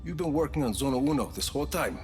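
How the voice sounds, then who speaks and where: A man plays an impulsive action hero in a game cutscene, short and direct in every line. His pitch moves in a lively way around a middle register. Soft music sits underneath. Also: fast talker, close to an everyday voice. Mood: terse. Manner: terse, direct, offhand. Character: tough male action hero, blunt and impulsive. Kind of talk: video game cutscene acting.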